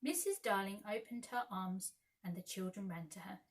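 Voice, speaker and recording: A woman narrating a children's story expressively, with dramatic, measured phrasing. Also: mid-range voice, lively intonation. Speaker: storyteller, school staff reader.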